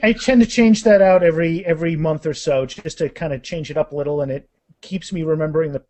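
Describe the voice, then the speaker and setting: High-pitched man, joking and self-mocking. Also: fast, warm tone, dry recording. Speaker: guest author and podcaster. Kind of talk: casual podcast chat.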